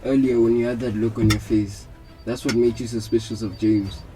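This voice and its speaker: A man's voice: medium pitch, flat delivery, normal speed, dark tone, soft background music, lightly acted, poor audio. A young man playing an attentive detective reasoning through a case in a radio drama. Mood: questioning.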